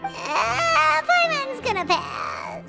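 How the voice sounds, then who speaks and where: A woman's voice: extremely high pitch, very animated, extremely slow, vocal fry, full character voice, low-quality recording. A woman voicing a small, perky, childlike fairy companion in a video game, speaking of herself in the third person. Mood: curious, reluctant. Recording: loud music behind the voice. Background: game music.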